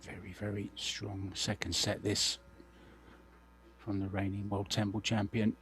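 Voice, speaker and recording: A man giving measured, understated live commentary on a billiards match, his voice slightly croaky. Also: lowish voice, little pitch movement, fairly bright tone. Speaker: sports commentator. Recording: broadcast with clear background hum.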